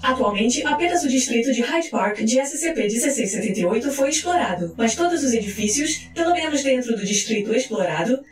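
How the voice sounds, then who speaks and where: A woman reads scripted documentary-style narration in a formal, measured, clinical manner. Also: high-pitched. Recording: echoey voice track over music. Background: music.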